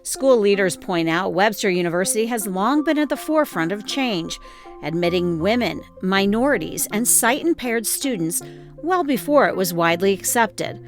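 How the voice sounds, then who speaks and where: A woman's voice: mid-range voice, animated, moderate pace. American woman reporter narrating over faint music. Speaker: news reporter. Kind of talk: scripted narration.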